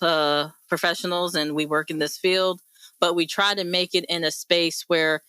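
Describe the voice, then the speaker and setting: A woman's voice: deep voice, pushed and bright, clean tone, no echo. A woman hosting a radio panel discussion, speaking in an encouraging, conversational and emphatic way. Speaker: host; mental health professional.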